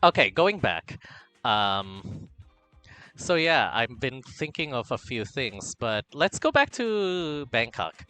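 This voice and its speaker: Lively chatty streamer man, bright tone. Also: medium pitch, slow, soft background music. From Philippines. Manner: chatty, camp, reading chat aloud, swings from mumbling to loud excitement. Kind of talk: casual livestream chat.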